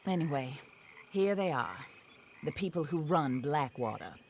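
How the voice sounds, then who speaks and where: A cold, commanding widow in a western video game, formal and exacting, who lays out her plans for revenge without raising her voice. Her voice sits low and stays measured. A faint outdoor ambience sits behind her. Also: lively intonation, a little mellow, slight background noise.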